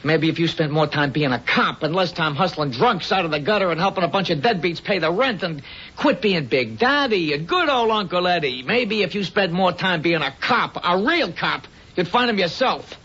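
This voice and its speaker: An actor playing a surly, cocky young small-time thief in a radio drama, with a wisecracking, defiant way of talking. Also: male voice, high voice, lively intonation, normal speed, pushed and bright, husky, heavily acted. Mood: angry, defiant. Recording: dull lo-fi radio recording.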